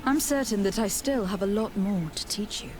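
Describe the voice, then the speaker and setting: A woman's voice: medium pitch, normal speed, darkish tone, a little hiss or hum, lightly acted. An actress playing a courteous, self-assured and compassionate noblewoman in a video game. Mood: polite, composed.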